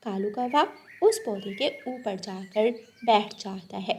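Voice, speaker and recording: A woman's voice: mid-range voice, slow, darkish tone, slight background noise. A woman delivering scripted narration for a children's story.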